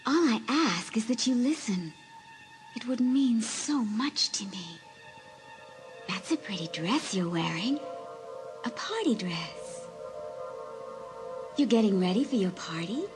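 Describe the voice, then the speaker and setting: An actress playing the ghost of a sixteen-year-old girl, speaking slowly in a hushed, breathy, pleading and wistful way in an audiobook drama. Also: fairly high pitch, expressive, music in the background. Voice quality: breathy, whispery. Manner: slow, hushed, earnest.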